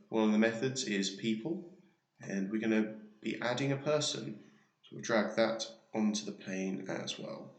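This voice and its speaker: Low-voiced man in an echoey room. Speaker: software tutorial presenter. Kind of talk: casual step-by-step screen demo narration. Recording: roomy, echoey sound.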